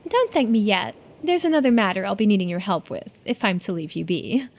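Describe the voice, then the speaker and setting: A woman voicing a teasing, shrewd investigator in a visual novel, with a knowing, theatrical, drawn-out delivery. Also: mid-range voice, lively intonation, voice pushed down, close to an everyday voice. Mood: cool bargaining.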